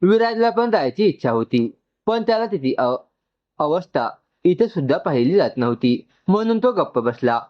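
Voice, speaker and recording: A man's voice: a little high, sing-song, moderate pace. A man narrating a dramatic, emotional story from a script. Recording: slightly dull, lo-fi audio.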